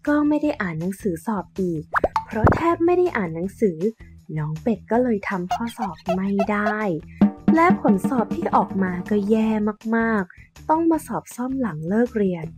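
Woman storyteller with medium pitch. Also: sing-song, normal speed, loud music behind the voice. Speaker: storyteller, narrator. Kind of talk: scripted children's story narration.

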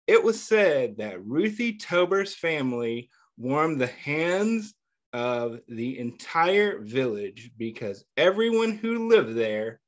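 A man reading a picture book aloud to young children, pausing often to ask them questions and praise their answers, and calling himself by name in the third person. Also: medium pitch, very animated. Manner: gentle, patient, asks questions and pauses for answers. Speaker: children's story reader.

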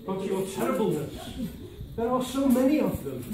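A man's voice: very high voice, big pitch swings, normal speed. An older man playing a ceremonious vizier who narrates an amateur stage pantomime with formal, theatrical announcements. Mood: deferential. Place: village hall stage, indoors. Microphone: distant camera in the audience. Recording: echoey hall, distant, very noisy.

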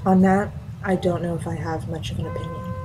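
Actress as sensible blunt woman, slightly low pitch, natural audio drama delivery, music behind. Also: huge pitch swings, brisk, low-quality recording. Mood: firm, reproving. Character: level-headed, blunt woman reasoning with an obsessed friend.

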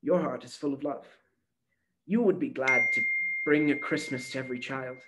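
A man reading a storybook aloud, voicing a tired, gentle old grandmother who speaks softly and sadly. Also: mid-range voice, very noisy, close to an everyday voice. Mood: tender weary. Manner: soft, slow, sighing and sad.

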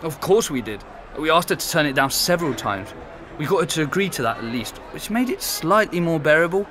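A chatty, laughing young ex-flatmate. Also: male voice, fairly high pitch, a little fast, noisy background. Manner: chatty, laughs while telling. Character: chatty young man, ex-flatmate of a missing student. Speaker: former flatmate. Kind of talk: conversational audio drama acting.

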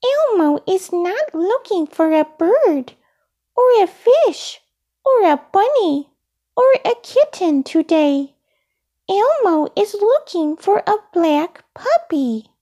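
A small, childlike storybook monster acted out by a woman speaks far up in a high register, polite and eager. The delivery swings up and down with earnest, simple phrasing. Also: very animated, takes it slowly, dark tone, full character voice. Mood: earnest, insistent. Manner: childlike, refers to self in third person.

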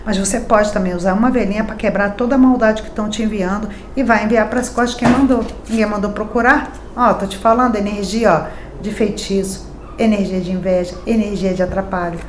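A woman's voice: mid-range voice, fairly even intonation, fairly quick. Chatty Brazilian woman reading tarot, echoey room. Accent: Brazilian Portuguese. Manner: chatty and emphatic, addressing viewers directly with tag questions. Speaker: tarot reader. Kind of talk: daily horoscope card reading to camera. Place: small decorated home studio room. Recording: echoey room with faint ambient noise.

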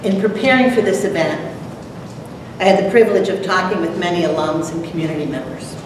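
A mature woman giving a prepared talk and dramatic poetry recital with deliberate, theatrical delivery. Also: on the low side. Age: middle-aged or older. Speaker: presenter, dramatic reader. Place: lecture hall, at a podium. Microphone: far camera. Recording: echoey noisy hall from far off.

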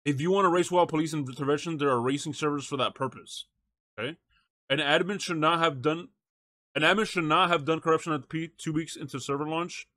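A male streamer with a fairly high voice, recorded dry and close. He speaks in a casual, slangy way, moving between reading text aloud and addressing his chat. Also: a little fast, pushed and bright, no room sound. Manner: slangy, says bro and chat often. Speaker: live streamer reacting to a video and talking to chat. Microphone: close streaming microphone.

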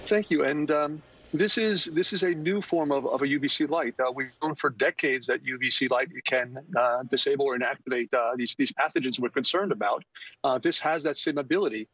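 Husky-voiced man on a video call. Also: medium pitch, brisk, a bit bright, no echo. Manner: hesitant, repeats words, many fillers. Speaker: technology company founder, interview guest. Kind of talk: remote news interview. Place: office with plants. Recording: dull lo-fi video call audio.

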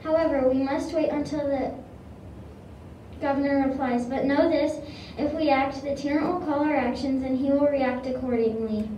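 A rousing male patriot leader , played by a woman declaims loudly to the room. The voice is high and mellow, and it rings in an echoey room with faint noise. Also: fairly even intonation, normal speed, recorded from a distance, close to an everyday voice.

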